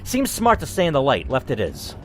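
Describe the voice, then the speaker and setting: A man's voice: mid-range voice, wildly swooping pitch, a little fast, a little hiss or hum. A young man hosting a gaming channel, reading an interactive story game aloud and breaking off into casual, swearing asides.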